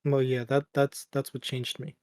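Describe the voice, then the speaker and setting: A young man co-hosting a casual podcast, talking in a flat, deadpan monotone. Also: medium pitch, moderate pace, dry recording. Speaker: podcast co-host. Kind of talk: casual chat.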